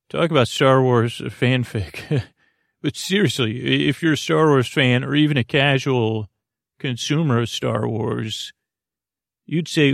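Creaky-voiced man rambling on a podcast. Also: mid-range voice, no room sound, clean studio sound. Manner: meandering, halting, self-interrupting, absent-minded. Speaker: podcast host. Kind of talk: rambling, improvised bedtime podcast monologue.

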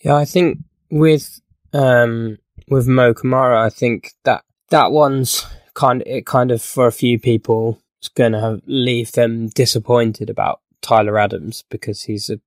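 Man, croaky podcast guest, rambling talker, dry close sound. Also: medium pitch, fairly even intonation, a little slow, dry recording. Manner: rambling, many fillers and restarts. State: croaky from illness. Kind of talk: casual podcast chat.

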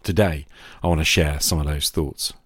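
A middle-aged man hosting a podcast, delivering a reflective scripted monologue in a measured, confessional manner. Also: rumbling low, fairly even intonation, over loud music. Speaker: podcast host.

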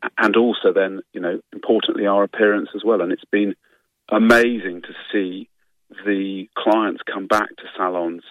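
A man speaking for a trade guide as a radio guest, earnest and measured, with stumbling starts. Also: lowish voice, fairly even intonation, no room sound, narrow, tinny audio. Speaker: industry guide representative, guest. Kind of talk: radio interview.

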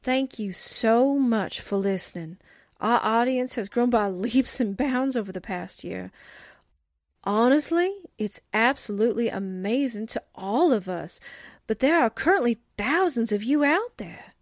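A woman from a radio cast delivers a scripted thank-you. Her pitch is very high and her pace slow. The sound is dry and free of echo. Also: animated. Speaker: radio show cast member.

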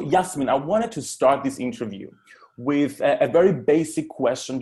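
A man's voice: mid-range voice, darkish tone. A man hosting a podcast, speaking articulately and addressing listeners directly as he introduces and runs an interview.

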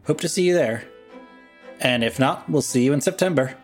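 A man's voice: mid-range voice, normal speed. A man hosting a podcast, reading scripted narration, credits and announcements. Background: faint music.

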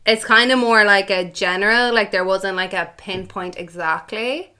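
A woman's voice: mid-range voice, moderate pace, sharp tone, clear, resonant tone. Giggly young Irish woman guest. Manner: chatty, laughs often, self-mocking. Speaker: anonymous guest. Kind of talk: casual podcast chat.

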